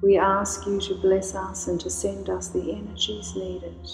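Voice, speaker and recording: A woman guides a meditation in a slow, soothing voice, calling gently on healing energies. Her tone stays even, with little rise or fall. Her voice carries a long, roomy reverb, with faint music underneath. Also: medium pitch. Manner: slow, soothing, invocational delivery.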